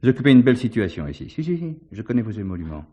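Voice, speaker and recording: A man playing a shrewd, assured police commissioner in a theatrical mystery, measured and ironically courteous. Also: mid-range voice, big pitch swings, warm tone, natural voice. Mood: assured, unmasking. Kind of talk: French stage mystery acting.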